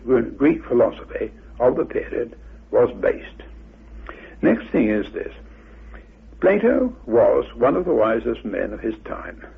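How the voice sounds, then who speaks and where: A man speaking in an unscripted interview, formal, deliberate and emphatic, in long halting sentences. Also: medium pitch, vocal fry, husky. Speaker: interviewed researcher. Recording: muffled, narrow-band old radio interview.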